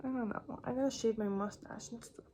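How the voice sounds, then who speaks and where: A young woman with a slightly raspy voice chatting casually and off the cuff to a phone camera, rambling with lots of filler words. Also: a little high, steady tone, brisk, dark tone, a little hiss or hum. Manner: rambling, filler-heavy with frequent "like". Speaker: vlogger. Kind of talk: casual impromptu chat to camera.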